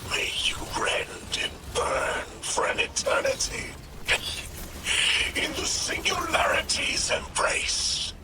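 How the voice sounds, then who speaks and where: Male actor for raging, grandiose alien house leader in a game; slow, theatrical delivery, loud music underneath. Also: lightly acted. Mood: anguished fury. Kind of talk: dramatic video game acting.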